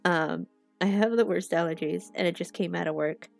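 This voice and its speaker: A young woman chats casually into a headset mic at home, her voice low-set with a gravelly edge. She sounds a little sniffly from allergies and rambles with plenty of filler words. Faint music plays underneath. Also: moderate pace. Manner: chatty, rambling, loses her train of thought with many fillers. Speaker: art streamer. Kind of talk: casual rambling livestream chat.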